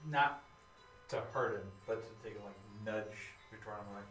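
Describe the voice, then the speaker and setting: A man's voice: on the low side, normal speed, a bit bright, soft background music. A man playing a tabletop game, chatting casually and playfully. The recording is roomy and distant, with noticeable noise behind him. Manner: laughing, playful. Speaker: player. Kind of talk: casual play chat.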